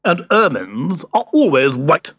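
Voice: medium pitch, very animated, measured pace, fairly bright tone, breathy edge, dry recording, exaggerated voice. A narrator voicing a scowling, haughty ermine in a storybook, with a snapping delivery. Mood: offended.

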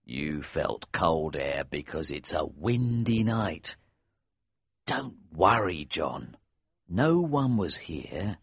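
A man acts out a gruff older innkeeper who runs with smugglers in an audiobook tale. The voice is very deep and husky, slow and deliberate. He is steady and fatherly, keeping his words hushed, and it is recorded close and dry. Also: dry recording, exaggerated voice. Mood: reassuring. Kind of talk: audiobook character reading.

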